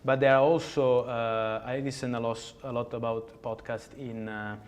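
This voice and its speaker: A man's voice: medium pitch, fairly even intonation, normal speed. Italian-accented man, hesitant guest. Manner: hesitant, many fillers and restarts, non-native phrasing. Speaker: podcast guest. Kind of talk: conversational interview.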